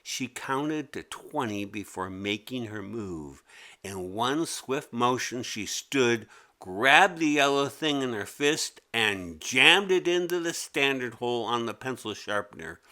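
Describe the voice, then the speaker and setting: A man narrating a children's story as a read-aloud, expressive and deliberate. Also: medium pitch.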